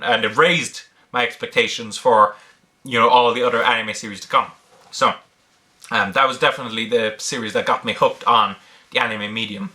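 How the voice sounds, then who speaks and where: Bright-voiced man, mixed Irish-British-American accent. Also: medium pitch. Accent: blend of Irish, British and American. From Ireland. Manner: hesitant, thinking aloud, frequent ums. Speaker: online video creator answering viewer questions. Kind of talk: casual unscripted Q&A talk.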